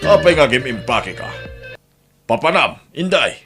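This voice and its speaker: An actor playing a curt, domineering, unfaithful husband in a radio drama. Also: male voice, mid-range voice, sing-song, unhurried, over music.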